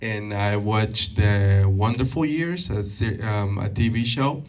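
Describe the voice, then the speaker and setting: A man in his thirties from Peru, answering audience questions at a community talk, halting and full of fillers and restarts. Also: fairly low pitch, recorded from a distance. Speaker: guest speaker. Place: meeting hall.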